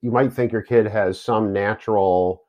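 A man's voice: fairly low pitch, steady tone, normal speed, darkish tone. A man thinking aloud in a casual academic discussion, musing in a conversational way. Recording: dull lo-fi audio.

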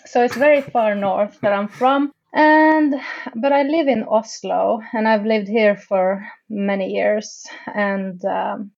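A woman's voice: medium pitch, slow pace, creaky, darkish tone, lo-fi sound. A woman from northern Norway, a podcast guest who laughs often and talks with hesitant, self-mocking humor. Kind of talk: casual interview chat.

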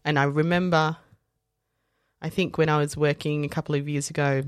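Woman chatting on a podcast, animated storyteller, lots of likes and ums, dry close sound. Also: low-pitched, a little fast, clean tone, dry recording. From South Africa, raised in Australia. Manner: animated storytelling, acts out other people's lines; many fillers and self-corrections. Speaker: podcast guest. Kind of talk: casual conversation.

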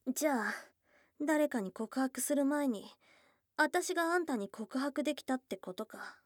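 A woman voicing a shy, tomboyish young goblin girl in an intimate ASMR voice drama, soft and breathy, often dropping to a whisper, close to the microphone. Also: high-pitched, animated, unhurried, no room sound. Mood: hesitant, probing. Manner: blunt tomboyish speech, tender and hesitant. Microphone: close, dry studio microphone.

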